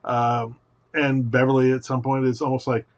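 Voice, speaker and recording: A man's voice: mid-range voice, level tone, moderate pace. A man who is a guest on a podcast, talking about his writing and retelling stories in detail, often saying "you know". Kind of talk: casual interview talk. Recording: dull lo-fi audio.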